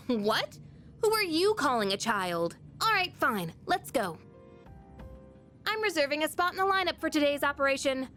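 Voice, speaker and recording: A woman playing a proud, easily provoked teenage girl in an English video game dub, sharp-tongued and assertive. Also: high voice, sing-song, moderate pace, faint music behind, full character voice. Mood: indignant, determined.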